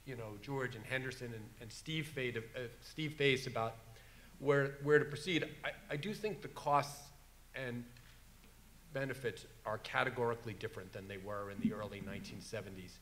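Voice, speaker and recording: A man speaking on a legal panel, measured, with a dry wit. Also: mid-range voice, fairly bright tone. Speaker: conference panelist. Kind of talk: panel discussion.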